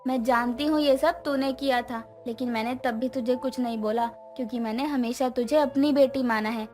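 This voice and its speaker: Gentle, forgiving stepmother for a family tale, high-pitched and emotive , voiced by a woman. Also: steady tone, moderate pace, faint music behind, close to an everyday voice. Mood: forgiving, sorrowful. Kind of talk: dramatized bedtime moral story.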